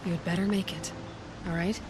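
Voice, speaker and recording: A woman's voice: on the low side, steady tone, moderate pace, background noise, lightly acted. Determined young huntress played by a woman. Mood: firm, earnest.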